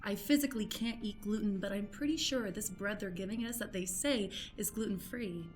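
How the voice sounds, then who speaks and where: A woman's voice: mid-range voice, a little fast, faint music behind, natural voice. A woman playing an eager, awkward amateur journalist in an improvised radio play, hesitant and prying in a polite way. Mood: nosy, flustered.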